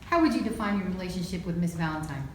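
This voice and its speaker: A woman playing a stern, formal courtroom prosecutor in an amateur student film, questioning in a measured, accusatory way. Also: fairly low pitch, lively intonation, a little mellow, lightly acted. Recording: very echoey room, distant mic, faint noise.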